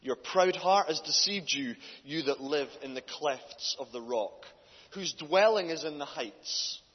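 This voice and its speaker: Male guest preacher, loud and earnest, muffled sound in an echoing hall. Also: fairly high pitch, a little slow. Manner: loud, earnest, builds in force when reading. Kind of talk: church talk and scripture reading. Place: church hall. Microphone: handheld mic. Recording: dull distant hall recording.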